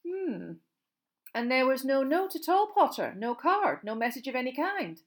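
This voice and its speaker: A woman's voice: sits high, very animated, normal speed, pitched above the performer's own voice. A woman voicing a stern, tart older witch teacher, with a clipped, dry delivery, in an audiobook reading. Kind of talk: audiobook character voice.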